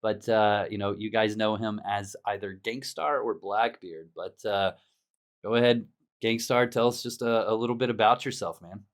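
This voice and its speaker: A man's voice: lowish voice, fairly even intonation, normal speed. A man hosting a casual gaming podcast, easygoing and laughing often, with plenty of fillers as he asks questions. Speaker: podcast host.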